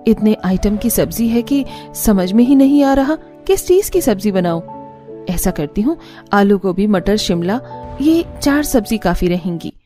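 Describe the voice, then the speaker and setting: Woman playing a dutiful young bride, mellow. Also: mid-range voice, animated, measured pace, lightly acted. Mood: musing, earnest. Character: young new bride, dutiful, thinking aloud. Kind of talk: cartoon story character. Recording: music bed under the voice.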